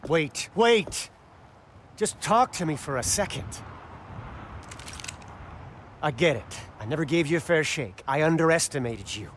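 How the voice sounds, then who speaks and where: A man's voice: fairly high pitch, expressive, normal speed, a bit bright, slight background noise. A man playing an earnest, weary fighter in a video game, conversational and a little wry. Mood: pleading. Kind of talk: dramatic video game cutscene acting.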